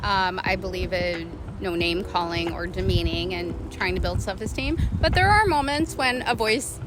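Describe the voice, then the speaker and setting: A woman's voice: lowish voice, moderate pace, noisy background. A middle-aged woman, a mother of three, giving considered answers to a street interviewer in a park. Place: outdoors in a park.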